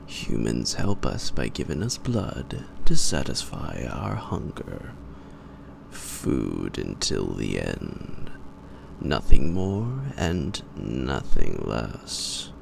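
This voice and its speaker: A man playing a suave, psychotic gentleman vampire in an audio roleplay, pompous, taunting and predatory, with drawn-out and sometimes whispered delivery. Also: wildly swooping pitch, heavily acted. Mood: menacing, condescending. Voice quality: very deep, gravelly. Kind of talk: theatrical roleplay monologue to the listener. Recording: noisy amateur home recording.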